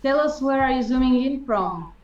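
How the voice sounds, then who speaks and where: A woman's voice: high voice, normal speed, dull audio. A woman co-hosting an online show over a video call, curious and asking questions. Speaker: show co-host. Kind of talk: casual video call chat. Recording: distant mic with hiss.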